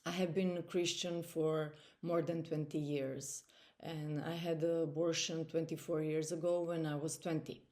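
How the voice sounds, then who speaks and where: A woman in her forties with a Croatian accent, giving a slow, earnest personal testimony with measured pauses. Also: low-pitched, little pitch movement, mellow. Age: 40s. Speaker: testimony speaker.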